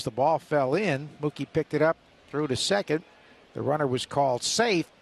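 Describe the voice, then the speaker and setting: A man's voice: medium pitch, creaky. A man working as a radio announcer, calling live baseball play-by-play.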